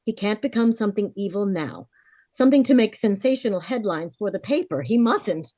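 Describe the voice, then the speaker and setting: A woman acts out a desperate, determined young heroine of an old radio serial. Her mid-pitched voice is insistent and melodramatic, with wide swings of intonation in a dry recording. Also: animated, fairly quick, warm tone, no echo, natural voice. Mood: pleading. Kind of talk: 1940s radio drama acting.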